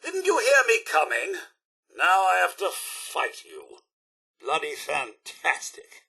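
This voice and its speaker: A bright, slightly husky British robot butler from a video game. He is prim and servile yet wry and fussy, and his formal lines swing widely in pitch. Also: heavily acted. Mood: combative, sarcastic. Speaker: game character voice actor.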